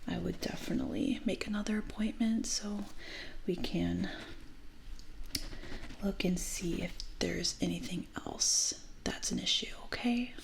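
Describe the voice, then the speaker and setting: A woman whispering breathily in a gentle, reassuring way, doing an ASMR medical roleplay as an audiologist. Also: on the low side, a little slow, vocal fry. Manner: gentle, reassuring, soft-spoken.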